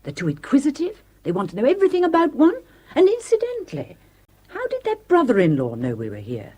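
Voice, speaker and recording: A woman's voice: high-pitched, wildly swooping pitch, brisk, mellow. An actress playing Claire, a scheming, haughty middle-aged woman who scolds her brother and orders the maid about in a radio drama. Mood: contemptuous. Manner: cutting, scornful, bossy. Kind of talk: 1970s radio drama acting.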